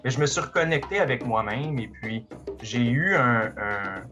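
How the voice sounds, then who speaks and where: A middle-aged man introducing himself at an audition in a measured way, pausing with fillers. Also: mid-range voice, lively intonation, music in the background. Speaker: entrepreneur auditioning. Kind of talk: self-introduction at a casting session.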